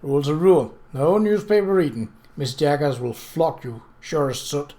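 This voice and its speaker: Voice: mid-range voice, moderate pace, warm tone, natural voice. A reader voicing a stern, pompous butler in an audiobook, blunt and disapproving. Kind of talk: acted audiobook dialogue.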